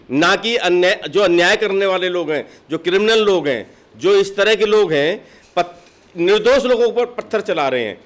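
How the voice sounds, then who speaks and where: High-pitched man arguing insistently. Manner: insistent, argumentative, peppered with rhetorical questions. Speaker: political party district official being interviewed. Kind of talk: impassioned interview answers.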